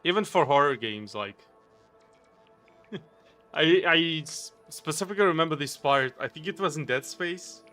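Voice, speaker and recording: A man's voice: a little high, lively intonation, normal speed, pauses often, bright, forceful tone, soft background music. A man with a Portuguese accent, casually chatting with viewers on a game live stream, rambling and chuckling often.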